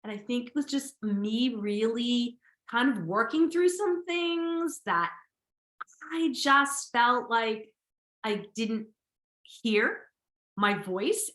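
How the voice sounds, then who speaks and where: A woman's voice: medium pitch, pushed and bright, roomy sound. A woman podcast host, talking casually and enthusiastically, with a slight sniffle and a little nasal. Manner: enthusiastic, upbeat, repeats words for emphasis. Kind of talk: casual solo podcast talk.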